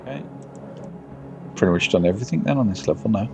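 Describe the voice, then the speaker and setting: A man live-streaming a game, reading the text aloud haltingly and muttering casual asides as he plays. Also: fairly low pitch, animated, gravelly. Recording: music behind the voice.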